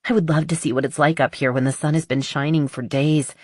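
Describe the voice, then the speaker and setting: A spirited woman artist voiced by an audiobook narrator, talking in a frank, animated, playful way. She is chatty and a little stubborn. The recording is dry and intimate. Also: low voice, a little fast, dry recording. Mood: chatty, enthusiastic. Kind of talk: audiobook character dialogue.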